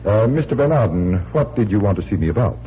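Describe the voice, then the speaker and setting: An actor playing a genial, unflappable magician and amateur detective, easygoing and courteous, in 1940s radio style. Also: male voice, on the low side, sing-song, quick pace. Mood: courteous, attentive. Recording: tinny, noisy 1940s radio recording.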